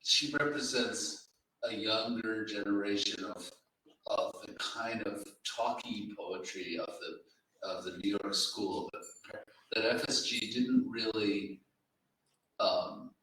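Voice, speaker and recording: In a strongly reverberant room, off the mic, a man talks about literature unscripted. He is slow and halting, choosing each phrase with deliberation in a bright, somewhat low voice. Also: fairly even intonation. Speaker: literary event speaker. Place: reading room at a live literary event. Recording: very echoey room, distant microphone.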